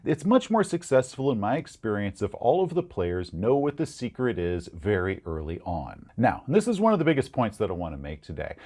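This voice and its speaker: Animated man delivering emphatic explanations. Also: fairly low pitch, brisk, few pauses. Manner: didactic, emphatic. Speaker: podcast presenter. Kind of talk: prepared explanatory commentary.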